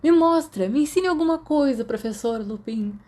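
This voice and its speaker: A woman's voice: high-pitched, lively intonation, normal speed, a little mellow, voice pushed up. A woman voicing a playful, cocky aristocratic teenage boy in an audiobook, with a teasing, theatrical delivery. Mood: playful eager.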